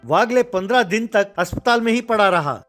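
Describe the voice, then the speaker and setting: Man, scripted story narration, high pitch, faint music behind. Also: expressive, normal speed, a bit bright.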